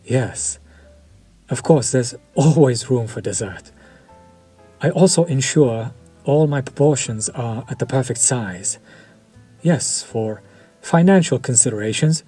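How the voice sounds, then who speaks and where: Vampire chef, played by a man: unhurried, husky, formal and seductive, over faint music. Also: medium pitch, warm tone. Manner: polished, formal and flirtatious, lingering over words. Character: suave vampire chef, courteous, quietly predatory. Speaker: voice actor in audio roleplay. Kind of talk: scripted audio roleplay.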